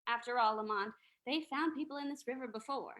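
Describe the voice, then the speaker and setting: Actress as teasing society woman, fairly high voice, wry, old-time radio mystery. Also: a little fast, a bit bright, natural voice.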